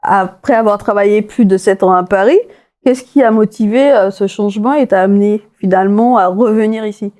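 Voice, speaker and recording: A woman's voice: mid-range voice, brisk, darkish tone. A woman hosting a television interview, asking her guest questions in a conversational way. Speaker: interview show host.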